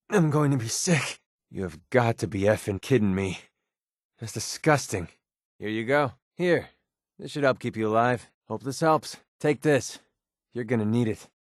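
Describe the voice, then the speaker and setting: A man's voice: medium pitch, no echo, studio quality. An actor playing a wry, jaded young male mercenary in a video game, with a casual, sarcastic, grumbling manner. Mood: disgusted, then helpful. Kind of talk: video game companion dialogue.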